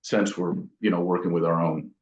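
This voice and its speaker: A man talking casually as an interviewed amp builder, explaining technical details informally in a thoughtful, halting way. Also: low-pitched, steady tone, a little mellow. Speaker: amp builder and company founder being interviewed. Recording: dull lo-fi sound in an echoey room.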